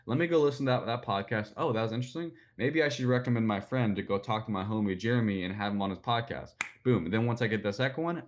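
A young man in his twenties answering interview questions about personal branding, rambling with lots of "like" and "you know". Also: fairly low pitch. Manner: fast, rambling, filler-heavy with "like" and "you know". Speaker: guest, personal branding speaker.

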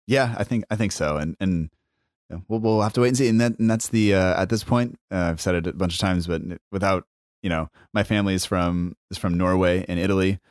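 A man's voice: deep voice, brisk, no room sound, clean studio sound. A man hosting a podcast, talking in an easygoing, loosely phrased way. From United States. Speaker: podcast host. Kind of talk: casual podcast chat.

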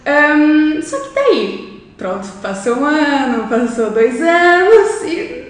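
A woman's voice: high voice, big pitch swings, slow pace. A woman in her twenties from Brazil, speaking with a Brazilian accent, chatting as a guest on a podcast. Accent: Brazilian Portuguese. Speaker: podcast guest, law student. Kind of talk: casual interview chat. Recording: echoey room.

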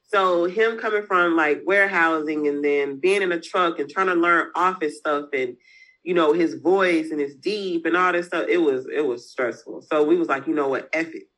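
A woman's voice: deep voice, normal speed, fairly bright tone. A woman entrepreneur talking casually as an interview guest, speaking frankly with slang and the odd curse. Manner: candid, frank, uses slang and mild cursing.

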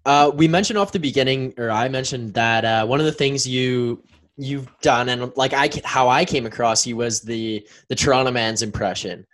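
A man hosts a casual podcast and asks questions in a loose, hesitant way. His voice sits at a medium pitch, with nothing unusual about it. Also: moderate pace, fairly bright tone, slight background noise. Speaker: podcast host.

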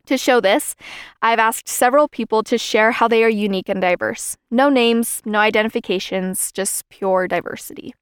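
A young woman hosting a podcast, giving an earnest, measured scripted talk on faith and belonging. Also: on the high side, clean tone, dry recording, studio quality. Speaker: podcast host.

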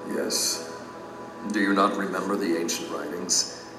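Humbled regretful teacher, real low voice, solemn confession, echoey with music behind. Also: male voice, fairly even intonation, measured pace, recorded from a distance. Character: humbled former teacher, regretful male lowly plumber. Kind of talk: allegorical audio drama acting.

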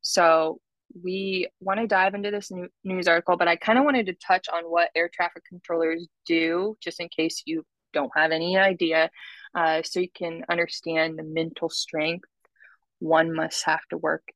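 A woman with a lowish voice talks as a podcast co-host, explaining and commenting in an informal way. The sound is dry, with very little room on it. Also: little pitch movement, normal speed, no echo, poor audio. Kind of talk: podcast commentary mixed with reading notes.

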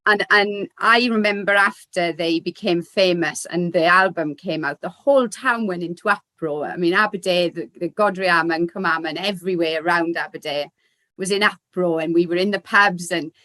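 A woman's voice: lowish voice, normal speed, dry recording. A woman with a Welsh Valleys lilt talks over old memories in easy, chatty conversation, laughing now and then. The sound is close and dry, with no room around her. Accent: South Wales Valleys. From Wales. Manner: warm reminiscing, laughs easily. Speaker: guest. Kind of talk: casual chat.

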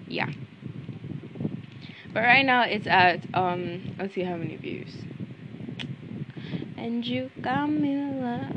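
A woman's voice: mid-range voice, lo-fi sound, background noise. A young woman in a casual book review vlog, ranting bluntly and chattily while she is losing her voice.

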